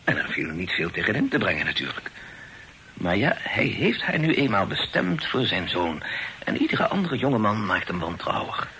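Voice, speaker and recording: An actor in a period radio play, voicing a humble, talkative old poet who is effusive, hesitant and deferential. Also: male voice, sits low, big pitch swings, moderate pace, bright, forceful tone, heavily acted. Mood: confiding. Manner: effusive, hesitant, sighing, repeats himself. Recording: noisy old radio drama recording.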